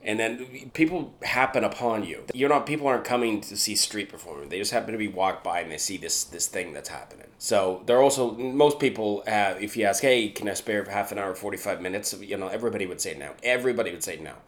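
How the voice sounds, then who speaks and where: Young Canadian street performer, very low voice; easygoing interviewee, animated and emphatic. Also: male voice, fast talker, hardly any pauses. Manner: animated, builds to emphatic points with repeats. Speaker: street performer and escape artist. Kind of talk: relaxed conversational interview.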